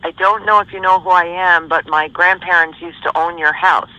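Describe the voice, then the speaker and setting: A woman caller speaks politely and a little hesitantly in a very bright, sharp voice. The recording is a dull, lo-fi phone call. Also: on the low side, fairly even intonation, moderate pace.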